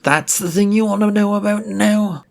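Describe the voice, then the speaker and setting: A man acts out a brash villain from an adventure tale. He sounds cocky and irritable, with a gravelly edge, sneering his way through threats and swearing. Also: high-pitched, steady tone, normal speed, a little mellow, voice pushed up. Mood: boastful.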